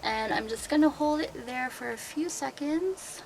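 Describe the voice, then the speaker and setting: A young woman with a sore, hoarse throat, chattily explaining step by step how she curls her hair. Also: sits high, a little slow, low-quality recording. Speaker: beauty vlogger and hairstylist. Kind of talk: casual hair tutorial. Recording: noticeable background noise.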